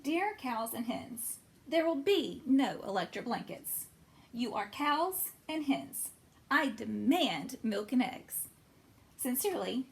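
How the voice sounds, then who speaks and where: A woman voicing a furious, indignant male farmer from a children's storybook, exclaiming with drawn-out emphasis. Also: on the high side, big pitch swings, gravelly, heavily acted. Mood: stern, demanding. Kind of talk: children's storybook read-aloud.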